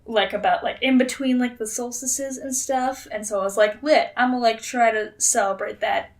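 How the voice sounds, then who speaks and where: High-voiced, giggly young woman, off the mic. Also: normal speed, distant mic. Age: young adult. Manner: excitable, giggly, sweary. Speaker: podcast co-host. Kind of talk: casual comedic banter.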